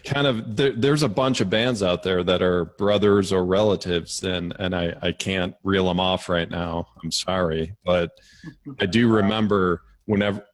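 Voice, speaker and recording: A man co-hosting a music interview, rambling and halting as he searches for his words. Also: low voice, steady tone. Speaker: co-host. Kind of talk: casual interview chat.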